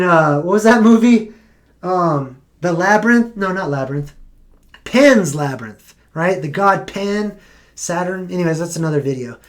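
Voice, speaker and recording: A young man hosting a casual live stream, losing his voice, rambling and correcting himself as he talks. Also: high-pitched. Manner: rambling, self-correcting, conversational.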